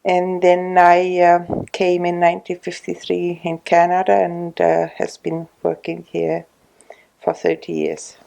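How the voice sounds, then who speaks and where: A woman in her sixties, from Estonia, speaking English with an Estonian accent in an oral history interview at her home, slow and hesitant with many pauses. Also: on the low side, monotone, vocal fry, mellow, poor audio. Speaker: retired social worker interviewee.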